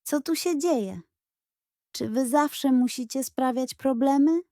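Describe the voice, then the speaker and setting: A woman's voice: high voice, takes it slowly, mellow, no echo, clean studio sound, pitched above the performer's own voice. A woman voicing a stern female history teacher, scolding reproachfully in a children's audiobook. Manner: menacing, reproachful.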